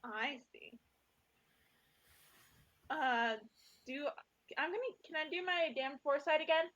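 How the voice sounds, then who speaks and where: A woman with a high, bright voice talks casually as a player in a tabletop game. She thinks aloud as she decides on her moves. The audio is somewhat dull and lo-fi. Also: unhurried.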